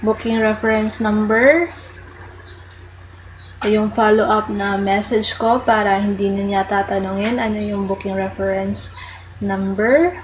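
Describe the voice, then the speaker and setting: A woman with a Filipino accent walking viewers through an online process, explaining slowly and patiently while mixing English into Tagalog. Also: mid-range voice, steady tone, dull audio, a little hiss or hum. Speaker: tutorial host. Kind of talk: casual screen-recorded walkthrough.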